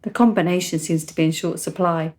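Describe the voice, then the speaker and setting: A woman's voice: fairly low pitch, fairly even intonation, fairly quick, a little mellow, close to an everyday voice. An actress playing a frank, cheerful, precociously mature seventeen-year-old country girl in a radio play, articulate and chatty. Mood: earnest. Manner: articulate, matter-of-fact, chatty.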